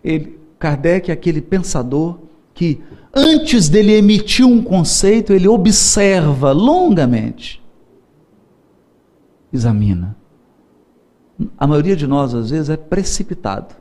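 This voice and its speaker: A man delivering a religious lecture. His voice sits high and swings widely in pitch. He is lively and humorous, repeating words for emphasis. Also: slow, pauses often. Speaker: Spiritist lecturer.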